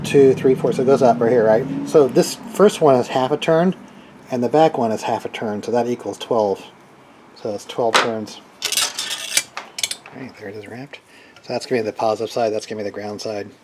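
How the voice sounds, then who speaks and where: Man thinking aloud over noisy workbench clatter. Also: mid-range voice. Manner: halting, thinking aloud while working with hands. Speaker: hobbyist radio builder demonstrating a build. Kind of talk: casual unscripted how-to narration.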